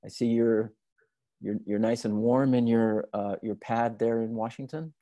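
A man hosting a webinar from home over a video call, asking questions in a friendly, relaxed way with many fillers. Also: mid-range voice, a little slow, no room sound. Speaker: webinar host. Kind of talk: hosted webinar interview. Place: home room with window shutters.

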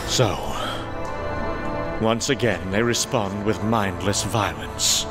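Guy acting sad noble space hero in a motion comic, earnest lofty speechy delivery, loud music under it. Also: on the low side. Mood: sorrowful brooding. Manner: earnest, lofty, measured declamation. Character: noble, sorrowful alien hero pleading for humanity.

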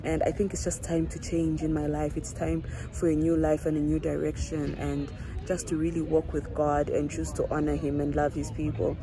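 A woman's voice: sits low, little pitch movement, normal speed, crowd noise. A woman in her thirties from Zambia, with a Zambian accent that slips toward South African, chatting earnestly to her phone camera in a rambling, filler-filled way beside a parked car. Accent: Zambian, slipping into South African. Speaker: vlogger. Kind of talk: casual selfie vlog chat. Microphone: phone held at arm's length. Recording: phone audio with outdoor noise.

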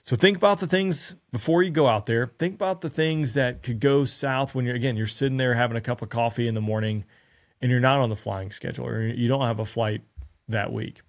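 An earnest man in his thirties talks straight to camera through a studio microphone in a small room. He coaches pilots in a conversational, practical way and keeps posing rhetorical questions. His voice sits at an ordinary middle pitch. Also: fairly quick. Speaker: former fighter pilot giving flying advice. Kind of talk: direct-to-camera instructional talk. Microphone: studio mic on stand in front of him.

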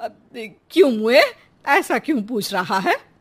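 A woman voicing a scolding, greedy middle-aged housewife in a cartoon, with an emphatic, sharp-tongued delivery. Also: on the high side, very animated, measured pace, slightly rough, full character voice. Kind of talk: animated cartoon dialogue.

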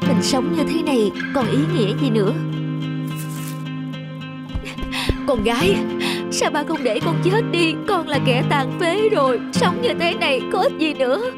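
A young fashion designer and model in a cartoon drama, proud but wounded. Her voice is fairly high, with music clearly behind it. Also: lively intonation, normal speed. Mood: despairing. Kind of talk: Vietnamese cartoon drama dubbing.

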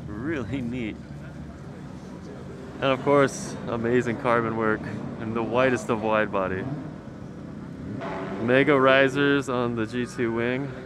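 Clear outdoor paddock noise surrounds a man with a mid-pitched voice. He talks like an enthusiast vlogger on a walk-around, informal and slangy. His delivery is casual and keen. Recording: noisy outdoor car paddock ambience.

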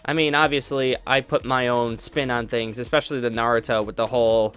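A man's voice: mid-range voice, flat delivery, fairly quick, a bit bright, smooth. Rambling young man with faint music. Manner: rambling, lots of fillers and restarts. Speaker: YouTube roleplay creator. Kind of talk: casual talk to viewers.

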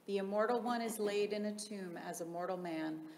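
A woman reading solemn liturgical hymns aloud in a measured, formal, chant-like delivery. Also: medium pitch, darkish tone. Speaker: liturgical reader in church. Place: large reverberant interior. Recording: echoey reverberant hall, faint background noise.

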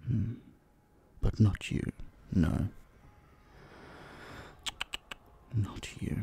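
Male actor as menacing ancient vampire, low gravelly voice, breathy whispers, condescending drawl, roleplay audio drama. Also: mellow, exaggerated voice. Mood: menacing, possessive. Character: possessive centuries-old male vampire, dominant, menacing captor.

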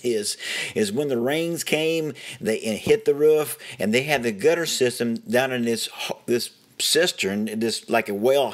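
A middle-aged or older man with an Appalachian American accent, telling a story in a homespun way and prone to chuckling. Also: mid-range voice. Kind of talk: folksy first-person storytelling.

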